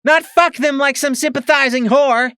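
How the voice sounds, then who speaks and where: A man's voice: extremely high pitch, steady tone, moderate pace, sharp tone, no echo, clean studio sound, voice pushed up. A man voicing a furious, vicious young female pony soldier who screams, swears and sneers. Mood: enraged.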